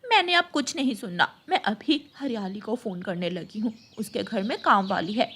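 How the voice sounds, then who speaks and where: A woman's voice: medium pitch, animated, normal speed, fairly bright tone, a little hiss or hum. A woman voicing a fed-up, bossy crow wife in a cartoon, with a complaining, demanding manner.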